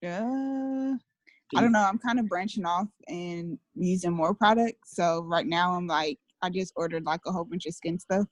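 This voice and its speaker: A woman's voice: on the low side, normal speed, no echo. A woman from Atlanta, a new distributor speaking on a video call, answering hesitantly with lots of fillers.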